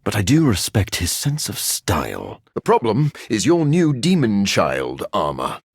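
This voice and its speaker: A man voicing a brooding, dry-witted vampire scientist in a video game, speaking formally with a gravelly, husky voice and a melancholy edge. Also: on the low side, huge pitch swings, unhurried, no echo, heavily acted. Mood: dry, irked. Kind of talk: video game character voice lines.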